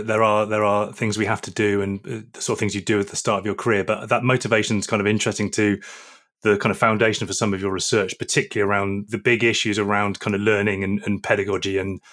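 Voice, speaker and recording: A man hosting an academic podcast, asking questions quickly with many repeated words and restarts. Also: on the low side, little pitch movement, a bit bright. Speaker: podcast host, professor. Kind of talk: podcast interview.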